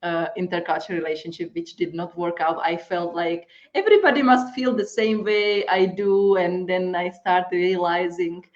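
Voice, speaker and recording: Chatty woman host, slightly dull audio. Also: fairly low pitch. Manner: chatty, many fillers and halting restarts. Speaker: interview host. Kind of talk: casual conversational interview.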